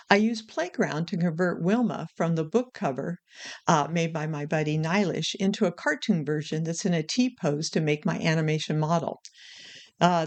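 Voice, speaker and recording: A woman's voice: medium pitch, darkish tone. A woman hosting a casual how-to vlog, talking in an informal, frank, chatty way. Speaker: video creator and host.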